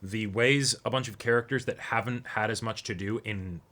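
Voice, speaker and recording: A man's voice: fairly low pitch, moderate pace, fairly bright tone. A man co-hosting a reaction show, talking casually and analytically and often checking in with a "right?". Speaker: co-host of an anime reaction show. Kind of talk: casual discussion.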